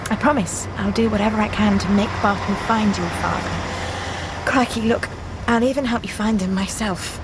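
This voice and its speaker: An actress playing a determined, anxious young British scientist with an English accent, earnest in manner, in a radio drama. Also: female voice, mid-range voice, fairly even intonation, normal speed, lightly acted. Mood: pleading. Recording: radio drama with vehicle noise behind.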